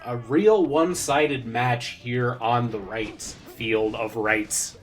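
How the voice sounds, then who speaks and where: A man's voice: mid-range voice, takes it slowly. A man co-commentating a group let's play, playfully doing mock sports commentary full of puns. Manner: punning, playful.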